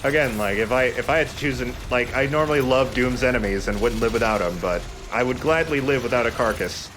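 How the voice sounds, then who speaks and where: A man's voice: medium pitch, a little fast. Joking male gamer, faint noise behind. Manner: joking, bantering. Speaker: let's play host. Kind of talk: casual gaming commentary.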